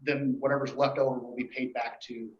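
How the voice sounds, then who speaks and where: A man gives an informal report to a board at a meeting, explaining things in a halting, matter-of-fact way. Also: medium pitch, little pitch movement. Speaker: emergency dispatch official reporting to a board. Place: indoor meeting room. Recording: echoey meeting room, dull muffled audio.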